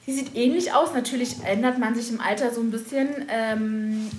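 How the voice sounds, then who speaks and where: A woman in her thirties with a slightly hoarse voice, chatting casually and telling lively stories to schoolchildren. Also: fairly high pitch, normal speed, reverberant, slight background noise. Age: 30s. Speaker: school first-aid staff answering pupils' questions.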